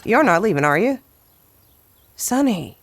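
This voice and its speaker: An actress playing a wry, bruised but tender, plain-spoken woman in an audio drama, with a halting way of talking. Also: mid-range voice, very animated, a little hiss or hum. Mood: anxious.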